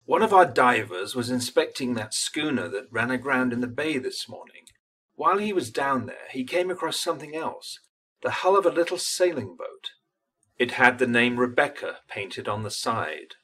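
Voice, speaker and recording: A man playing a grave, courteous coast guard captain, measured and formal, in old-time radio drama style. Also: medium pitch, expressive, pushed and bright. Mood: grave, polite.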